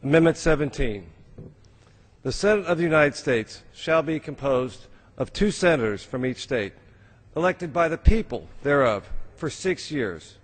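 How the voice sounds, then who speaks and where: A man, a member of Congress, with a rough voice, formally reading a legal document aloud. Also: on the high side, animated, a little slow. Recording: reverberant large legislative chamber.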